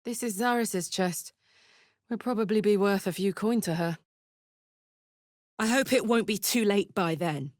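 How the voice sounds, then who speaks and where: An actress playing a brash, warm-hearted warrior woman in a video game, with an earnest, salty, blunt manner. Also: medium pitch, unhurried, long pauses, no room sound, studio quality, close to an everyday voice. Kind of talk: video game character voice lines.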